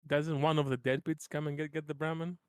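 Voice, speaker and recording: A man's voice: fairly high pitch, little pitch movement, a little fast, smooth, no echo. A man chatting casually and bluntly as a player in a tabletop roleplaying game. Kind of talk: casual, sweary game chat.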